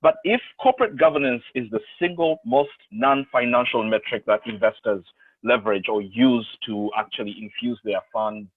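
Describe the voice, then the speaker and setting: Male panel moderator, conversational with hesitations, husky voice, tinny phone-line sound. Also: mid-range voice, normal speed, no echo. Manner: conversational, with backchannel affirmations and hesitations.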